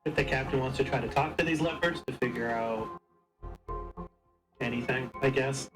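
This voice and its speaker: A man playing a tabletop game, chatting casually in a halting, tentative way. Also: mid-range voice, low-quality recording. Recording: music clearly audible behind. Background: background music.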